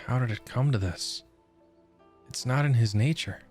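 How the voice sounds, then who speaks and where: A man's voice: medium pitch, normal speed, darkish tone. A man hosting a gaming video, casually commenting and reading game text aloud as he plays. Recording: game music behind the voice.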